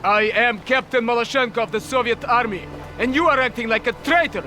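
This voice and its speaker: An actor playing a zealous, stern Soviet army captain in a video game, forceful and indignant, with noise behind him. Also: male voice, very high voice, a little fast, faint music behind.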